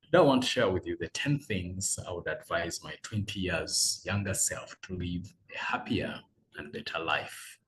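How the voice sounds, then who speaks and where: A man, a business coach giving earnest, measured motivational advice as numbered tips. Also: on the low side, a bit bright, poor audio. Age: 40s. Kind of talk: motivational talk read as a letter.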